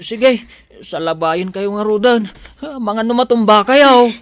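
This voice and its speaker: A woman's voice: medium pitch, measured pace, natural voice. A woman acting in an Ilocano audio drama as an anxious, deferential helper, flustered and respectful. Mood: concerned. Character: anxious, deferential helper fussing over employer.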